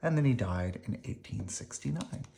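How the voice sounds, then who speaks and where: A person reading a children's picture book aloud expressively, with dramatic emphasis, pauses and brief explanatory asides. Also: mid-range voice, expressive, creaky, warm tone. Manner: dramatic emphasis, pausing between phrases.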